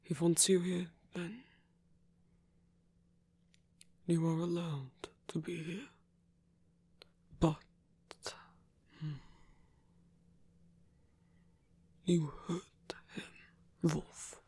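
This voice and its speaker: A man plays a stern guardian in an ASMR tale. The voice is husky and breathy, often near a whisper. He speaks slowly and formally, weighing each phrase protectively. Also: fairly high pitch, flat delivery, mellow, no room sound, full character voice. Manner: measured, formal, deliberate. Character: stern, protective male guardian of a young vampire. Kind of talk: ASMR roleplay acting.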